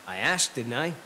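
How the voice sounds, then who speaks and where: An actor playing a blunt, earnest young warrior with a protective streak in a video game. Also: male voice, mid-range voice, a little hiss or hum, exaggerated voice, low-quality recording. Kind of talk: dramatic video game voice acting. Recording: loud game music behind the voice.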